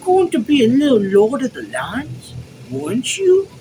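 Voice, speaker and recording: A mocking soldier taunts a comrade in a high, sing-song mimicry from a storybook. The voice leaps well above normal talk, with clear noise behind it. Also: male voice, huge pitch swings, a little slow, warm tone, exaggerated voice, rough recording. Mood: mocking, taunting.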